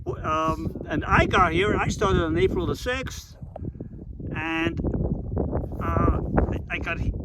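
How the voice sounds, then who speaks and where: A man in his sixties hosting a casual, jokey chat with fellow hikers outdoors on a trail. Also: a little high. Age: 60s. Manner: jokey, laughs often, stumbles and repeats words. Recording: loud wind noise outdoors.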